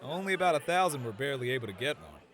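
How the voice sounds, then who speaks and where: An actor voicing a cocky, easygoing fantasy gunslinger with a Western American accent, drawling and teasing in slang. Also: male voice, medium pitch, very animated, racing, fairly bright tone. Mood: cocky, challenging.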